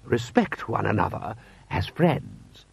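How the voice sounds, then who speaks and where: A man's voice: fairly low pitch, very animated, takes it slowly, vocal fry, breathy edge, no room sound, full character voice. A man voicing a haughty, stiff military lord with pompous, condescending formal speech.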